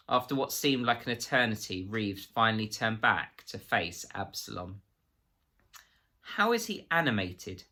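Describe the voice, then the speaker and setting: Low, bright-voiced man reading a story. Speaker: story reader. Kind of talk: expressive children's novel narration.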